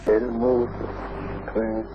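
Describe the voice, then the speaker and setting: A man announcing coded messages on a numbers station in a stilted, deliberate, word-by-word way, heard on an archival radio broadcast. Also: medium pitch, monotone, noisy background.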